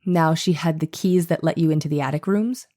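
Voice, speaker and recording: A woman playing a male defense attorney in a trial re-enactment, questioning in a formal, measured and insistent way. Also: sits low, dark tone, natural voice. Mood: measured, probing. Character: probing, persistent male defense attorney cross-examining a witness. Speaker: actor reading a lawyer in a trial re-enactment. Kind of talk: dramatized trial transcript reading.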